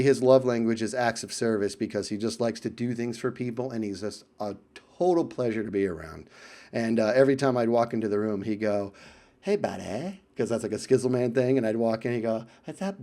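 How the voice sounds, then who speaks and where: An American man tells casual anecdotes as a video creator, in an animated way, chuckling and acting out exchanges with friends. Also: lowish voice. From United States. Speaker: video creator telling stories about friends.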